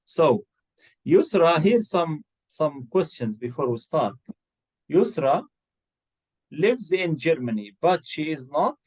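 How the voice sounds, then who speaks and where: A man's voice: fairly high pitch, sing-song, slow, darkish tone, breathy edge, low-quality recording. A man, teaching an English lesson and patiently coaxing and correcting his student's answers. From Egypt. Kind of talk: one-to-one online English lesson.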